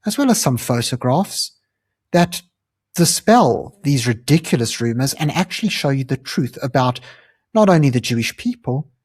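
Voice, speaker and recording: South African male host, husky mid-range voice, earnest chatty delivery, dry sound. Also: mid-range voice, darkish tone, no echo. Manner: earnest, conversational, frequent fillers. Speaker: channel host. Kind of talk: explanatory commentary to camera.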